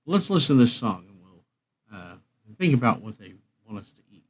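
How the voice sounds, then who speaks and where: A man's voice: medium pitch, sing-song, moderate pace. Casual, rambling man hosting a livestream. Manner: rambling, meandering. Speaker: music livestream host.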